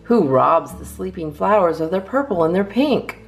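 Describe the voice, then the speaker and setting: A low-voiced woman reads poems aloud to children with theatrical emphasis. Her intonation swings widely and her voice has a creaky edge. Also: expressive, normal speed, soft background music. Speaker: storytime host reading a picture book.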